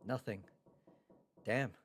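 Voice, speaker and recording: A woman's voice: a bass voice, slow. A woman voicing a confused, frustrated young man trapped in a locked room, read aloud from a visual novel, muttering and exclaiming in exasperation. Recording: clearly noisy background.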